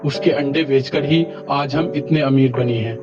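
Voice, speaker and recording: A man's voice: mid-range voice, normal speed, muffled recording, over music, close to an everyday voice. A man playing a humble, kindly poor village husband in cartoon story dialogue. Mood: earnest, deferential.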